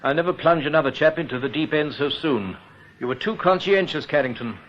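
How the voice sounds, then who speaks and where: An actor playing a genial but evasive English bank executive in a radio play, patronising, persuasive and given to tactful hesitation. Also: male voice, on the high side, brisk, fairly bright tone, natural voice. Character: smooth, evasive, genial male bank executive, senior boss. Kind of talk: British radio play acting. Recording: dull, hissy old radio recording.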